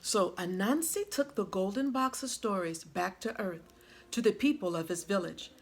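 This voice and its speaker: A woman reading a children's story aloud like a teacher, with dramatic, deliberate phrasing. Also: mid-range voice, a little hiss or hum.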